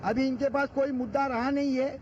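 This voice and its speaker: A man's voice: very high-pitched, steady tone, brisk. A man giving his opinion in a street interview. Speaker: member of the public. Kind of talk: street vox pop. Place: outdoors on a city street. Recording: dull street recording with traffic noise.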